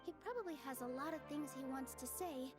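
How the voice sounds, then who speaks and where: A woman voicing a gentle, kind young female dancer who speaks softly and encouragingly. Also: high voice, fast, close to an everyday voice. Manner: soft, encouraging. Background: music.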